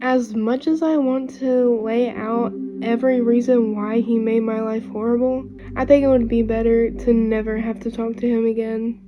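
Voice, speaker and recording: A woman voicing an earnest, wounded runaway girl with strange powers in an amateur animated series. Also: fairly high pitch, normal speed, hardly any pauses, lightly acted. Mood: earnest, resolute. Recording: dull lo-fi mic under faint music.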